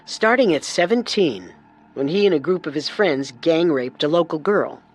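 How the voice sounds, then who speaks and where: A woman co-hosting a scripted true crime podcast with dramatic, deliberate delivery. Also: low-pitched, very animated. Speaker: podcast co-host and narrator.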